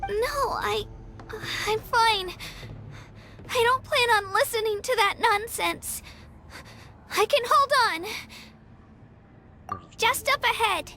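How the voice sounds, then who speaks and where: A woman's voice: extremely high pitch, very slow, pauses often, sharp tone, slight background noise, full character voice. A woman voicing a stubborn, earnest little girl warrior in a video game. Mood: strained but determined. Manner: earnest, determined.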